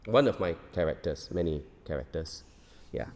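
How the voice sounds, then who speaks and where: A man's voice: deep voice, animated, normal speed, a little mellow. A man, chatting casually in a cast interview. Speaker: stage play cast member. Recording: reverberant hall.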